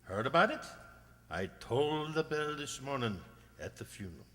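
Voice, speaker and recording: A man's voice: mid-range voice, big pitch swings, normal speed, fairly bright tone. A man playing a cheerful, nosy, talkative village postman with a folksy, self-satisfied manner in a radio drama. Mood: chatty, proud. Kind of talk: amateur radio drama acting. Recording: long hall-like reverb.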